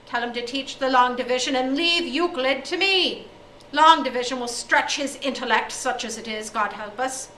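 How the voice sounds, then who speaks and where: A woman's voice: mid-range voice, expressive, normal speed, pushed and bright, slight background noise. A woman voicing a hot-tempered older male schoolmaster who bellows insults. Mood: furious. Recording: echoey room from far off.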